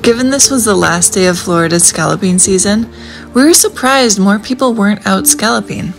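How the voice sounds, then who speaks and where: A woman reading a calm, informative voice-over for a family travel vlog. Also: mid-range voice, normal speed, creaky. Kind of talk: scripted voice-over narration. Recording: voice-over with loud music behind.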